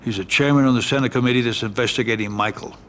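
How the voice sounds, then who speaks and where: An actor playing a middle-aged American mafia consigliere, calm, shrewd and matter-of-fact, in a game drama. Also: male voice, mid-range voice, racing, slight background noise, natural voice. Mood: calculating. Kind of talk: dramatic game cutscene acting.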